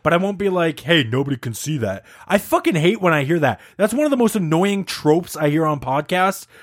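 A man's voice: on the high side, big pitch swings, brisk. A young man co-hosting a podcast, chatting casually with friends, teasing and laughing often. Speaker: podcast host. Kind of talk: casual banter among friends.

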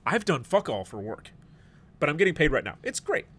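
A man's voice: fairly high pitch, sing-song, a little fast, a bit bright. A middle-aged man hosting a podcast in casual chat, a bit stuffed up and sniffly, rambling and joking. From United States. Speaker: podcast host.

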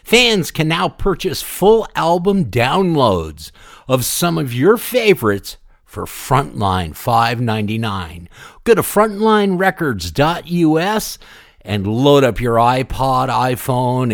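Male host for scripted podcast links: animated pitch, slow delivery, dry close sound. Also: dry recording. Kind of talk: scripted radio-style hosting and sponsor read.

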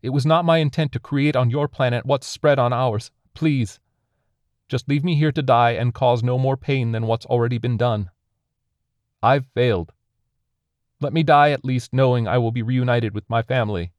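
A man's voice: mid-range voice, normal speed, dry recording, studio quality, voice pushed up, lightly acted. Man voicing a pleading alien envoy, raised voice. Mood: sorrowful, resigned. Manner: formal, solemn, stilted speech. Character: wounded glowing alien envoy, pleading, grief-stricken, formal.

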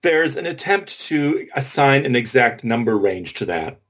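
A man's voice: mid-range voice, sing-song, measured pace, fairly bright tone. A man co-hosting a podcast, explaining methodically and informally with examples and asides. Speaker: podcast co-host. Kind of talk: informal explanatory discussion of linguistics.

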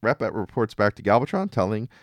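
A male podcast host recaps episodes casually in a fairly low voice. His delivery is wry and very animated, with playful dramatic emphasis, recorded dry with no room sound. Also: quick pace, no echo.

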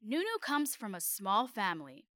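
A woman correspondent narrating an interview in a clear, measured, scripted way. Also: medium pitch, very animated, pushed and bright, no echo, clean studio sound.

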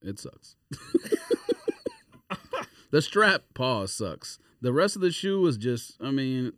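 Lively mid-pitched American man, casual podcast chat. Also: slow pace, no room sound, clean studio sound. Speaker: podcast panelist. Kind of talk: casual chat running through sneaker releases.

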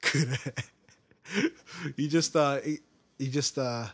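A man's voice: mid-range voice, fairly even intonation, takes it slowly, a bit bright. A man co-hosting a casual chat podcast, rambling and laughing often between his words, recorded on a cheap makeshift mic. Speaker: podcast co-host.

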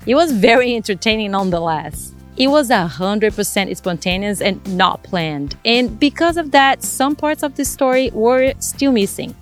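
A woman in her forties from Brazil, speaking English with a Brazilian Portuguese accent and hosting a podcast in an earnest, measured way. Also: on the high side, soft background music. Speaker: podcast host. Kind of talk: scripted podcast intro and casual chat.

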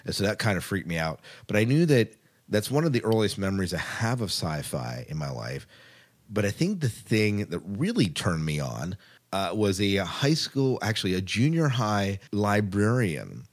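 Guy with a low voice, chatty podcast host, informal, rewords stuff as he goes. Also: big pitch swings, moderate pace. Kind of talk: casual hosting chat.

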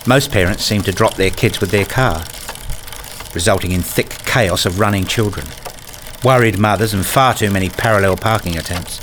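A man reading scripted first-person narration for a radio play, telling it in a measured, literary way. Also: fairly low pitch, fairly bright tone, slight background noise.

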